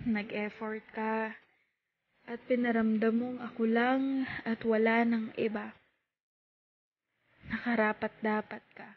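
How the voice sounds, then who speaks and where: Casting: Filipino woman for heartfelt spoken word, slightly high husky voice, tinny phone-like recording. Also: level tone, slow pace, long pauses. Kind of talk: spoken-word poetry, dramatic heartfelt monologue.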